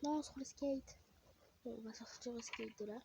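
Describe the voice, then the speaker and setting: A woman's voice: a little high, steady tone, moderate pace, vocal fry, noisy background. A woman with a French accent and a stuffy nose from a cold, chatting casually as she plays a game, easily annoyed and muttering asides. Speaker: gaming vlogger.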